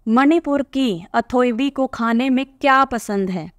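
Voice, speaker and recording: A woman's voice: fairly high pitch, a little slow, no room sound. A woman teacher reading aloud from a textbook and patiently explaining each answer to young pupils.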